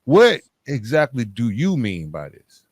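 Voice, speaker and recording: Guy hosting a podcast, medium pitch, dry sound, chatty interview style, repeats points back. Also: huge pitch swings, a little slow, darkish tone, slightly rough. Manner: echoes and restates the guest's points. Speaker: podcast host. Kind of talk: conversational interviewing.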